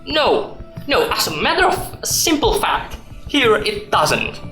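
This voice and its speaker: A man's voice: sits high, huge pitch swings, slow pace, pushed and bright, exaggerated voice. An actor playing a pedantic, defensive male employee arguing his case in a radio drama. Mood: insistent. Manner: pedantic, argumentative. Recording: roomy echo, music underneath.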